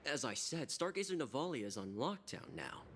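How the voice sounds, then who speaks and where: A suspicious, challenging male guard speaks in a medium pitch with lively swings. He is curt and officious, a game character heard over clear background noise. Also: normal speed. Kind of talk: video game voice acting. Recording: loud background ambience noise.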